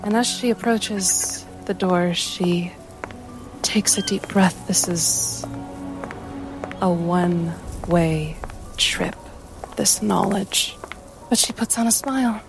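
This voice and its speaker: A woman playing a tabletop game, narrating her character dramatically in a measured, solemn way over faint music. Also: on the low side, gravelly, poor audio.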